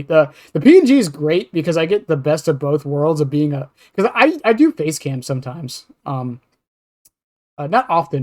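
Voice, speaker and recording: A man chatting casually on a podcast as a streamer, rambling and self-deprecating, with some swearing. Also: fairly high pitch.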